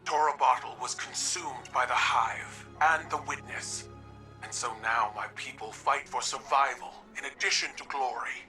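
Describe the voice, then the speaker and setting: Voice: mid-range voice, moderate pace, bright, forceful tone, recorded from a distance, faint music behind, close to an everyday voice, rough recording. A performer playing a proud alien warrior empress, cold and haughty, with formal phrasing in a video game. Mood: grave, resolute. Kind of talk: video game character acting.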